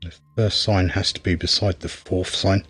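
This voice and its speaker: A man's voice: low voice, flat delivery, moderate pace, a bit bright, breathy edge, soft background music. A man giving casual gameplay commentary, rambling wryly as he thinks aloud while playing. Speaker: game reviewer and player.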